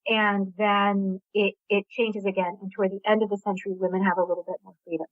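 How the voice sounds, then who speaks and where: A woman guest speaks over a narrow, tinny phone connection, her voice sitting at an ordinary middle pitch. She explains her ideas thoughtfully, pausing and correcting herself along the way. The sound is dry, with no sense of a room around her. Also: flat delivery, dry recording. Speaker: author guest. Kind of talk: explanatory interview talk.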